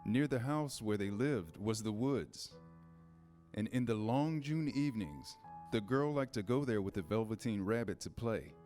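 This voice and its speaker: A man reading scripted storybook narration in a measured, storytelling manner. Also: on the low side, animated, a little mellow, faint music behind.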